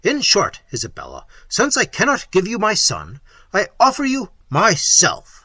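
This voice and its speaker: A man voicing a tyrannical middle-aged prince, loud and commanding, with a husky voice. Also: on the high side, wildly swooping pitch, measured pace, dry recording, full character voice. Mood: imperious.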